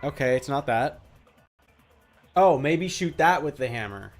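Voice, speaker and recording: A man's voice: mid-range voice, animated, unhurried, faint music behind. A man chatting casually on a live game stream.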